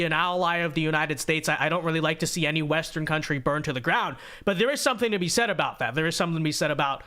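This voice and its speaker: A young man hosts a live-stream commentary show in a high, bright voice that sounds congested. He talks in an animated, energetic way and often stumbles into quick repeated restarts. He speaks in an upbeat way to his viewers. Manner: animated, talkative, frequent stammered restarts. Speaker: host.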